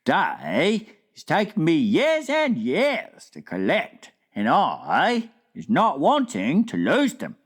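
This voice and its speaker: A woman voicing a big, gentle, friendly male giant who talks in drawn-out, folksy, mangled grammar. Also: low voice, huge pitch swings, husky, pitched above the performer's own voice, heavily acted. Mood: humble, pleading.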